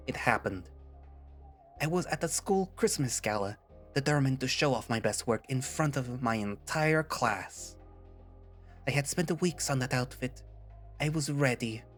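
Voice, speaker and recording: A man's voice: medium pitch, close to an everyday voice. A man voicing a bitter, vain chameleon villain in a melodramatic, pompous way, with a put-on French accent. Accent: mock French.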